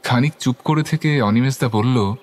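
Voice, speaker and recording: An actor playing a curious young club member who narrates a ghost story in the first person, with scripted dialogue. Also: male voice, mid-range voice, normal speed, a little mellow, lightly acted. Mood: observant, curious.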